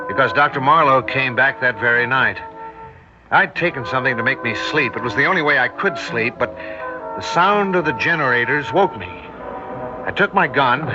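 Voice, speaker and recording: Male actor as a shaken hero narrator, mid-pitched, old muffled radio with music. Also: natural voice. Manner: tense, shaken, first-person narration. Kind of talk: 1960s radio drama acting.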